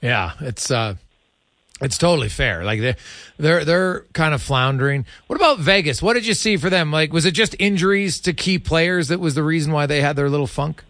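Slightly higher-voiced male sports radio host. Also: animated, a bit bright, dry recording. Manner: halting, informal, rambling questions. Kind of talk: casual sports interview questioning.

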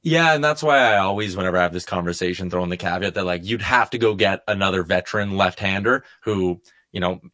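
A man's voice: deep voice, quick pace, bright, forceful tone, no echo, clean studio sound. A man chatting casually about sports as a regular on a talk show.

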